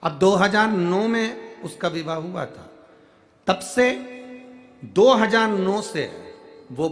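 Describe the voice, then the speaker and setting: An Indian man from Madhya Pradesh giving a devotional sermon, reading a listener's letter aloud, emphatic and alternating loud declarations with hushed lines. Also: high-pitched, takes it slowly, faint music behind. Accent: Madhya Pradesh Hindi. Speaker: katha preacher. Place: large outdoor religious gathering.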